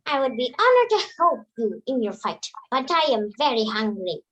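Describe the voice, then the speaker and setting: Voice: medium pitch, very animated, normal speed, fairly bright tone, poor audio. A performer playing a bossy yet deferential forest monkey in an amateur folktale reading, declaiming theatrically.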